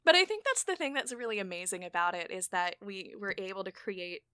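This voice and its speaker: A woman's voice: mid-range voice, lively intonation, bright, forceful tone, smooth, no echo, clean studio sound. A young hard-of-hearing woman hosting a podcast, chatting casually and joking about herself over a home microphone. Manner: chatty, self-mocking, quick laughs. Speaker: podcast host and producer. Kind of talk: casual chat. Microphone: home mic over voice chat.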